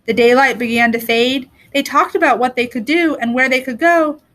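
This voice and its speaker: A woman reading a children's story aloud in an expressive storytelling style. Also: high voice, normal speed. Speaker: storybook reader.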